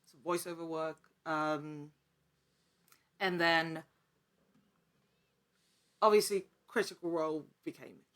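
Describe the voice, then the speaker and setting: A woman talking casually as an interview guest, telling her story in an animated, chatty way. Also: sits low, slow, pauses often. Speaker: podcast guest, actor.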